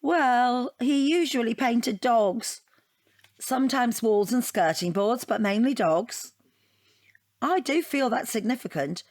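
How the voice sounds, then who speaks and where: An actress playing a wounded wife in an audio drama, rambling in a wry, deadpan and digressive way. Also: female voice, a little high, slow. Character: wounded, rambling wife dissecting her unwanted portrait gift. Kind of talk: audio drama monologue and dialogue.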